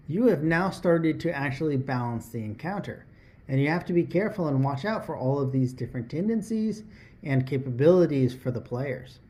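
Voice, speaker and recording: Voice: mid-range voice, a little mellow. A presenter giving an instructional explainer, talking directly to the listener and posing rhetorical questions. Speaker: tabletop RPG lesson host.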